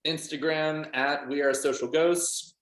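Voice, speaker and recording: A man's voice: on the high side, steady tone, a little slow, fairly bright tone, slight background noise. A young man chatting informally and jokingly as an interview guest, with roomy echo on his voice. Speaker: band member guest. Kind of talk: casual chat.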